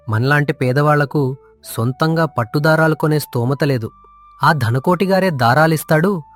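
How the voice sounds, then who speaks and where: A man's voice: a little high, close to an everyday voice. A man playing an honest, poor village handloom weaver, earnest, humble and weary, in a moral story drama. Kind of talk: audio moral story acting.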